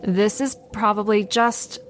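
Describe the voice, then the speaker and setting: Woman acting a practical fantasy monk, slow delivery, dry understatement, faint noisy ambience, audio drama. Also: mid-range voice, steady tone, lightly acted. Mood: reassuring.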